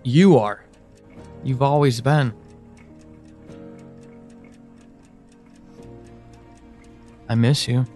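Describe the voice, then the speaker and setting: An actor playing an anguished, pleading, devoted man in an audio drama monologue, speaking haltingly and emotionally. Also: mid-range voice, fairly even intonation, warm tone, faint music behind, lightly acted. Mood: earnest, longing. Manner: halting, hesitant, emotional, with sighs and pauses.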